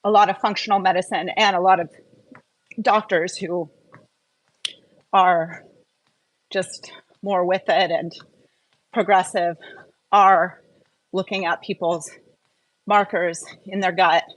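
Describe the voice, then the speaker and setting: A woman's voice: on the low side, steady tone, slow, long pauses, dry recording, poor audio. A woman hosting a live wellness stream, explaining things informally and step by step in a conversational way. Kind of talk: informal explanatory talk to a live online audience.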